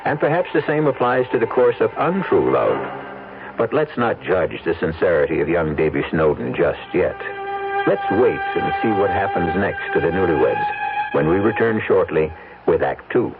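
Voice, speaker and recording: A man acting as a wry, literate host, narrating an old radio mystery in a measured, theatrical way. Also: sits low, sing-song, narrow, tinny audio. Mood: wry, teasing. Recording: thin old radio with loud music.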